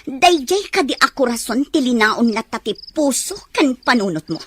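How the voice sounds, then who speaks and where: An actress voicing a young wife pleading with her cold husband, speaking softly and breathily. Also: sits high, expressive, moderate pace, a little hiss or hum. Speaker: wife in an audio drama.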